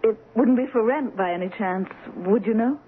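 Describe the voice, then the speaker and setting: An actress playing a sensitive, cultured young American wife in a radio drama. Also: female voice, fairly high pitch, normal speed, natural voice. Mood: admiring, curious. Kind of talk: old radio drama acting. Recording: muffled, hissy old radio broadcast.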